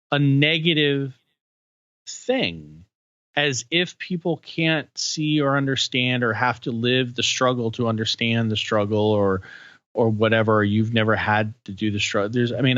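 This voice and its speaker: A man hosting a candid podcast conversation, working his thoughts out slowly with lots of restarts and "you know"s. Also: on the low side, fairly bright tone, dry recording, dull audio. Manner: halting and searching, many restarts and fillers. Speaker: podcast host.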